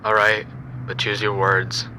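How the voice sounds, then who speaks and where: A man's voice: on the low side, completely flat delivery, measured pace, background noise, natural voice. A man playing a sadistic, coldly amused killer in an audio drama, taunting and menacing in a matter-of-fact way. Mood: smug threatening.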